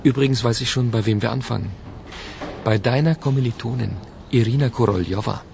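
A man's voice: mid-range voice, a little fast, creaky, darkish tone, slight background noise, close to an everyday voice. An actor playing a matter-of-fact, wryly gloomy male police detective in a radio play. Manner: matter-of-fact, clipped, wry.

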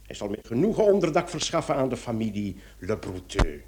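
Excitable, blustering police commissioner with swooping pitch. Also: male voice, a little fast. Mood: stern, interrogating. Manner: theatrical, exclamatory, grumbling. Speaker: actor in a radio play. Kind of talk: Dutch-language radio play acting.

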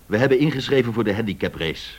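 An actor playing a brusque, commanding airline director in a radio drama, curt, authoritative and probing. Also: male voice, medium pitch, animated, fast, lightly acted. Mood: brisk, commanding.

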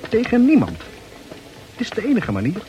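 An actor playing a fretful muttering bachelor. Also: male voice, sits high, wildly swooping pitch, normal speed, mellow, highs cut off. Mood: fretting. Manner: anxious inner monologue. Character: nervous bachelor muttering his thoughts. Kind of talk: 1960s radio play acting. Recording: noisy old radio play recording.

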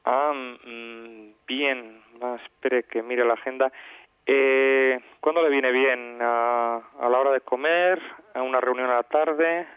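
A man's voice: mid-range voice, steady tone, slow, clean tone, no echo, lightly acted. A man playing a courteous businessman who thinks aloud hesitantly on a telephone line. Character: courteous businessman answering his office phone. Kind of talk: telephone conversation in a language lesson. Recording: thin narrow telephone line.

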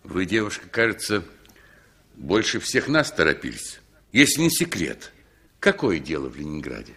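A man playing an inquisitive, avuncular older traveller with a husky voice in a 1960s radio drama. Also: low-pitched, big pitch swings, moderate pace, pushed and bright, full character voice. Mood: curious.

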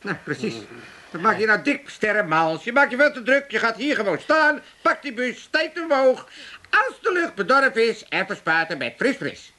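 A man's voice: very high-pitched, very animated, moderate pace, bright, forceful tone, heavily acted. A man playing a boastful, self-satisfied working-class father in a radio comedy, brash and chatty. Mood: meddling. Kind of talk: 1980s radio comedy acting.

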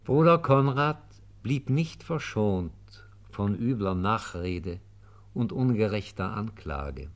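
A man reading measured scripted narration as the narrator of a radio play. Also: on the low side, lively intonation.

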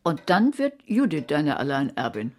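Crime radio drama: bookish middle-aged woman, deep husky voice, dry, wary, sceptical delivery. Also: sing-song, moderate pace, darkish tone. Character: bookish, cautious woman librarian, sceptical narrator of crime story. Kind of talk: radio play acting and narration in German.

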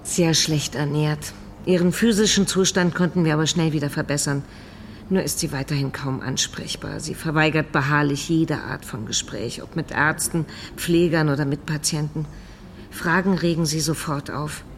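Firm female doctor, very low pitch, clinical manner, radio play, faint ambience. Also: normal speed, a little hiss or hum. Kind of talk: radio drama dialogue.

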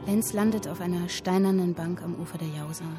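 An actress playing a captive young woman who recites a dark literary tale in a solemn, measured manner for a radio play. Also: on the low side, close to an everyday voice. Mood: brooding, solemn. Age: young adult. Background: music and typewriter.

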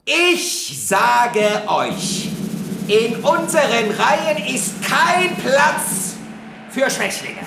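An actress playing a fanatical nationalist demagogue in a radio drama, declaiming a strident rally speech. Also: female voice, sits high, big pitch swings, very slow, vocal fry, noisy background, exaggerated voice. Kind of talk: radio drama political oration. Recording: echoing hall sound, music underneath.

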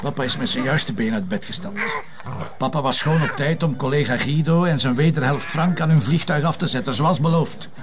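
A man's voice: fairly high pitch, brisk, close to an everyday voice. An actor playing a sarcastic, grumbling police inspector and father with a Flemish accent, in naturalistic television drama dialogue. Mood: grumpy, sarcastic.

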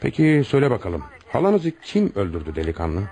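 An actor playing a stern, commanding police commissioner in a radio play, questioning suspects brusquely and with authority. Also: male voice, medium pitch, wildly swooping pitch, fairly quick, warm tone. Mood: commanding, brisk. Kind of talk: radio theatre acting.

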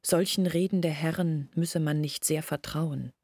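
Radio drama: bitter young villager, earnest confider, husky with some fry, measured delivery. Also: female voice, deep voice, steady tone, warm tone, no room sound, faint music behind. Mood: reflective, recounting. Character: embittered young village woman confiding her family's disgrace. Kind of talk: intimate literary radio drama storytelling.

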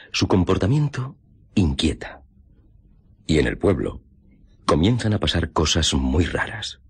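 A man with a Castilian Spanish accent narrating a scripted story for radio in measured, suspenseful phrases, his voice husky. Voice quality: deep, husky.

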